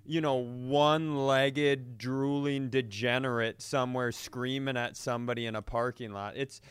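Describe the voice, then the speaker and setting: Male comedy co-host, smooth tone, dry close sound, casual, vulgar and self-deprecating. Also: mid-range voice, steady tone, measured pace, dry recording. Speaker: podcast co-host.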